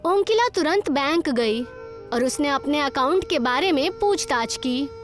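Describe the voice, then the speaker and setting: A woman's voice: high voice, expressive, unhurried, soft background music. A woman narrator reading a scripted children's moral story.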